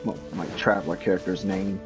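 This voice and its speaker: Casting: male game streamer, low and unhurried, dryly grumbling in casual commentary over prominent game music. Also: fairly even intonation. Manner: halting, grumbling, dry. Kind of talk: casual live gameplay commentary.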